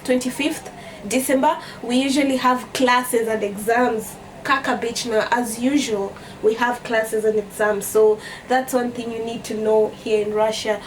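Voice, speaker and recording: A young Kenyan woman with a fairly high voice talks casually to her viewers in a storytime vlog. Her accent is Kenyan, and she is chatty, often repeating phrases for emphasis. She sounds a little way from the mic, with faint noise around her. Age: young adult. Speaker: vlogger. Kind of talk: casual storytime chat to camera. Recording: distant mic with background noise.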